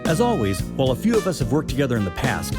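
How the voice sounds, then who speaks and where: A man hosts a music show in a scripted intro. His delivery is playful and announcer-like, and his pitch moves a lot. Loud funky band music plays under his voice. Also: sing-song, rapid-fire. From Japan. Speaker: host and bassist.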